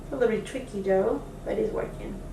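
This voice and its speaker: A woman's voice: medium pitch, fast talker, creaky, background noise. A woman from Peru, a beauty vlogger, chatting casually through a makeup try-on with lots of fillers, recorded on a camera mic at a distance in a home room. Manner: chatty, lots of fillers, enthusiastic about products. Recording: echoey room, voice a bit off-mic.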